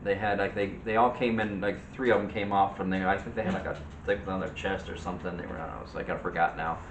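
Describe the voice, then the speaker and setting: Guy with a lowish voice chatting casually, kinda halting, roomy sound with faint noise. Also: fairly even intonation. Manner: halting, searching for words. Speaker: co-host of a movie discussion show. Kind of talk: casual chat.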